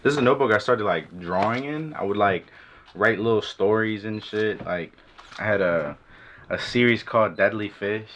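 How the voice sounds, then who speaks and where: A young man, an artist, talking casually about his work in a documentary interview, relaxed and swearing freely. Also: on the low side, normal speed, lo-fi sound, slight background noise. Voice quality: laid-back, swears often, says "bro" and "like".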